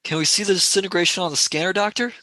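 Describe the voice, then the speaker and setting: A man acting a brisk, urgent young male space-travel companion in an amateur radio play. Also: high voice, sharp tone, low-quality recording. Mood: eager.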